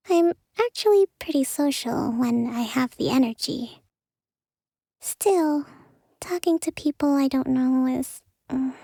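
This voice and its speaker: A woman playing a gentle, weary, bookish young priestess leader in a video game, soft-spoken with breathy asides. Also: high voice, dry recording, studio quality, full character voice. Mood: grateful, drained.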